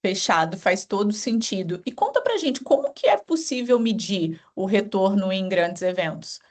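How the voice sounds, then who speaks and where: A woman's voice: mid-range voice, very animated, moderate pace, a little mellow. Woman moderating a panel. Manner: brief affirming interjections.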